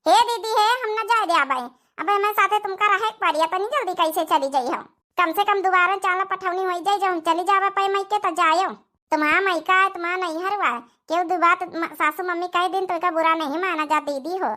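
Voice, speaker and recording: A woman's voice: very high-pitched, a little fast, pushed and bright. A woman voicing a sweet, coaxing young village daughter-in-law, deferential and pleading, with an Awadhi accent in a cartoon comedy. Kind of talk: dialect cartoon comedy acting.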